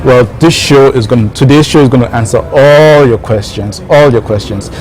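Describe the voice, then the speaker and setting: A man's voice: mid-range voice, normal speed, a little mellow, low-quality recording. Man hosting an informal online talk show. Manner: addresses viewers directly, repeats phrases for emphasis. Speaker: show host and founder.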